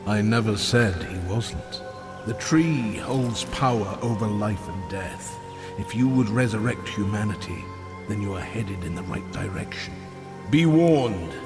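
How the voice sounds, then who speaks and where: A man playing an ancient, ominous keeper of secrets in a fantasy game, giving warnings in a portentous, deliberate way. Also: lowish voice, lively intonation, over music. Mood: ominous, grave. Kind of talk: dark fantasy game acting.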